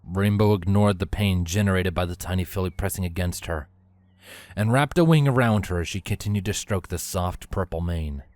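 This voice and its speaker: Low-voiced male story narrator. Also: moderate pace, darkish tone, no room sound.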